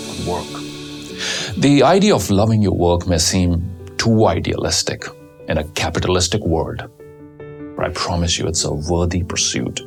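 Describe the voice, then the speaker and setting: A man reciting a poem and giving a motivational talk in a slow, deliberate, earnest way. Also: lowish voice, vocal fry. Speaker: motivational speaker. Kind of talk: poetry reading and motivational talk.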